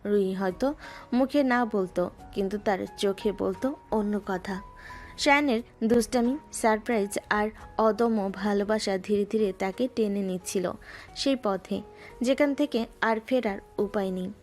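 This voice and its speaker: A woman narrating a romantic story in a soft, even storytelling manner. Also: mid-range voice, unhurried. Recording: music underneath.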